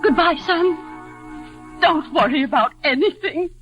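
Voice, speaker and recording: A woman's voice: high-pitched, slow pace, a bit bright. A woman playing an anguished, pitying middle-aged mother in theatrical 1940s radio drama style. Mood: tearful pity. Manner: theatrical, emotional. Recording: vintage radio broadcast with music.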